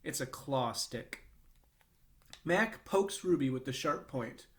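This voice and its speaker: A man reads a children's novel aloud. His voice sits at a middle pitch, and he narrates with expression, as a storyteller to young listeners. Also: animated, slow pace.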